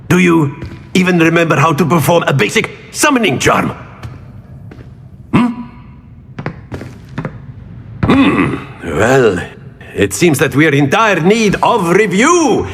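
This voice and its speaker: Jovial older wizard professor, theatrical, teasing, slow delivery, swooping pitch, video game. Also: male voice, wildly swooping pitch, a bit bright, long reverb tail, a little hiss or hum, exaggerated voice. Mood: teasing, instructing. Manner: theatrical, wordy, with drawn-out prompting hums. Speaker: charms teacher.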